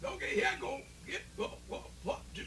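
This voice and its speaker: A man playing a folksy, cheerful old country storyteller, stammering and muttering in a gravelly voice for radio theatre. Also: pushed and bright, slight background noise, lightly acted. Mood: chatty, spirited. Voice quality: creaky, gravelly. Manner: stammering, muttering, drawn-out rustic talk. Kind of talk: radio theatre character acting.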